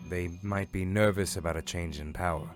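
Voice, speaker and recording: A man playing a calm, formal advisor at a royal court in a fantasy fandub, speaking in a measured, instructive way. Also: low-pitched, soft background music, close to an everyday voice. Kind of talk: fandub fantasy drama acting.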